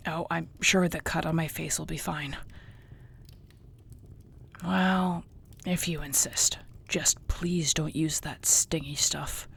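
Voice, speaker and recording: A woman playing an affectionate orc warrior girlfriend in an intimate audio roleplay, speaking softly and tenderly with a husky voice. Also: deep voice, fairly even intonation, heavily acted. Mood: calm, reassuring. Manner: soft, tender, unhurried, often near a whisper.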